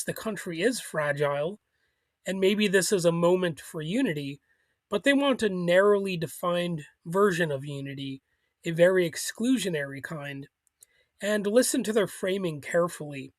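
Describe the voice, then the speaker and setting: A man's voice: high-pitched, no room sound. A man hosting a solo political commentary show, arguing critically, with chuckles and small restarts.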